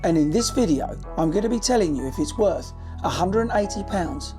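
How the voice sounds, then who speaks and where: A British man, a running coach, talking casually to camera in a shoe review while nearly losing his voice, croaky and hoarse. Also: high voice, animated, fairly quick, music in the background. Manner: chatty, cheeky, direct to viewers.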